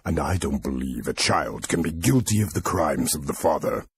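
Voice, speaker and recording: An actor playing a stern, solemn warrior priest in a fantasy game, with a gravelly, husky voice and a grave, deliberate delivery. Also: male voice, a bass voice, sing-song, heavily acted. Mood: grave, accusing. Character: stern, defiant old warrior priest of a war god. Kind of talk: fantasy game cutscene acting.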